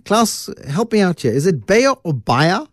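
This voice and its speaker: A man hosts a radio business show in a chatty, lively way, throwing in asides between his questions. His voice sits slightly high for a man. The studio sound is close and dry. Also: unhurried, no echo. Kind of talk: radio interview.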